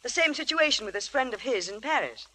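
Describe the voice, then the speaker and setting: A woman's voice: on the high side, a little fast, a little hiss or hum. An actress playing a chatty, keen woman mystery novelist in an effusive 1940s radio drama style. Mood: brisk, chatty. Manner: effusive, animated. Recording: old radio broadcast recording.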